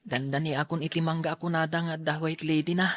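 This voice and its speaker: A woman's voice: low-pitched, steady tone, quick pace, no room sound, natural voice. A woman playing a timid, polite schoolboy in an audio drama, speaking hesitantly and bashfully. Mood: nervous.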